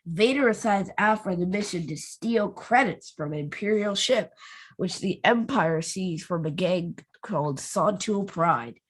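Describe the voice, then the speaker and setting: Husky low-voiced woman telling a recap. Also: animated, a little slow, rough recording. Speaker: podcast co-host. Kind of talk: casual podcast chat and read recap.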